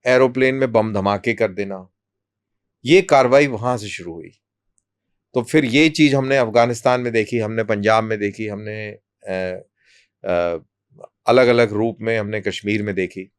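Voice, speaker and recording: A man speaking as an interview guest, explaining in measured, deliberate short phrases with pauses. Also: lowish voice, clear, resonant tone, dry recording. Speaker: army colonel, interview guest.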